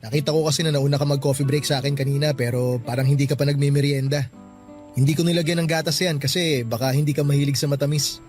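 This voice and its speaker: Actor as friendly, considerate Filipino male office worker, late twenties, higher register, radio drama over soft music. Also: fairly even intonation, fast talker, natural voice. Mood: friendly, attentive. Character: friendly, considerate 29-year-old male bank employee.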